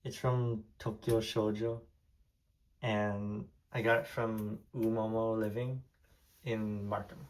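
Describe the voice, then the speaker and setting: A man's voice: on the low side, little pitch movement, slow, a little mellow, rough recording. A young man from Taiwan, a native Hokkien speaker, casually rambling as he tours his diecast collection room, heavy on fillers and restarts. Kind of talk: casual room tour vlog.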